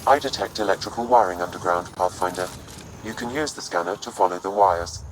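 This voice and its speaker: A man voicing a calm artificial intelligence in a video game, pitched low. He is formal, precise and even-tempered, with faint ambience behind. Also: brisk, slight background noise, natural voice, poor audio. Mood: calm, informative. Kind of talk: video game dramatic acting.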